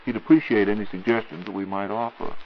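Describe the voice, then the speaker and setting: A man with an American accent hosting a scripted 1940s radio program in a formal, measured, polished announcer's delivery. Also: fairly low pitch, steady tone, a little hiss or hum. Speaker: radio show host and announcer. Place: radio studio. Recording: muffled 1940s radio broadcast.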